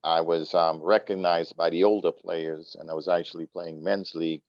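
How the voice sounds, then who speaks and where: A man's voice: sits low, dry recording, highs cut off. A middle-aged man from Trinidad, a soccer coach, talking casually as an interview guest with many fillers. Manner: relaxed, unhurried, many fillers.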